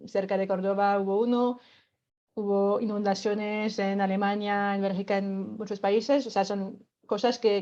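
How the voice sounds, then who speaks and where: A woman's voice: medium pitch, dark tone, muffled recording. A woman from France speaking with a French accent, patiently explaining a climate workshop as a radio guest, with many filler words and light laughs.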